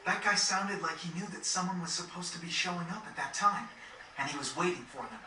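Earnest, high-voiced teenage boy adventurer. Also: fairly quick, bright, forceful tone. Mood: thoughtful. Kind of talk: video game voice acting. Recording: roomy, distant, noisy TV capture.

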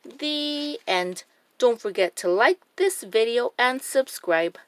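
Animated, slow-paced woman reading to children. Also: a little high. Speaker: children's storybook reader and channel host. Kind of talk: expressive read-aloud for young children.

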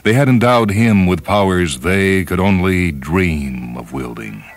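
A man with an extremely deep voice narrates a story as a radio host. His delivery is measured and solemn, declaimed like grand storytelling, with music playing behind him. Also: expressive. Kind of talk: dramatic scripted storytelling narration. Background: orchestral and piano music.

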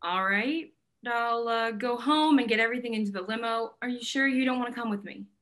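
An eager, smitten gallery owner acted by a young woman in a stage play reading. She has a fairly high voice and talks in a casual, candid, gushing way. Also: a little fast, a bit bright, lightly acted. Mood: warm, excited. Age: young adult. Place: indoor room at home, video call.

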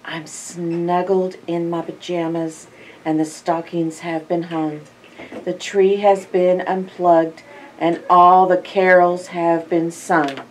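Older woman reading slowly to children. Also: on the low side, far from the mic, slight background noise, poor audio. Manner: expressive, drawn-out phrases with hushed asides and questions to listeners. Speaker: library storytime reader. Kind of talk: animated picture-book read-aloud to young children. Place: indoor library in front of bookshelves.

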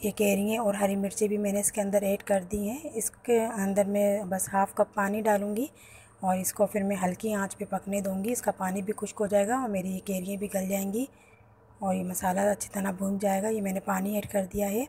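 A woman, hosting a home cooking channel and calmly talking through each step of a recipe. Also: medium pitch, moderate pace, a little hiss or hum. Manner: explains each step plainly to viewers. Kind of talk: home cooking tutorial voice-over. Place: home kitchen.

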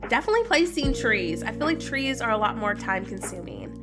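A woman's voice: a little high, sing-song, brisk. Stuffy-voiced woman streamer, music behind. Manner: chatty, greets viewers by name. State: stuffy from a cold. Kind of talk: casual livestream chat while gaming. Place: home room, indoors.

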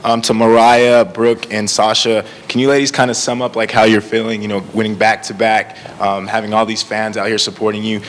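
A man's voice: on the low side, level tone, fairly quick, hardly any pauses, long reverb tail, slight background noise. A man asking casual, hesitant questions as a reporter at a press conference.

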